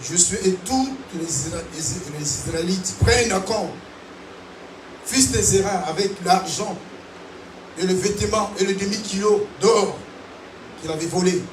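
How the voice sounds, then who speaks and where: A man preaching with a high, bright voice that climbs and falls as he calls out to his congregation. He is heard from some distance in a roomy, echoing hall. A steady noise runs under his voice. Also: measured pace. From Africa. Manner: animated preaching with call-and-response. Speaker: pastor. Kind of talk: sermon. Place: large church hall. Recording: echoey hall, distant mic, noisy.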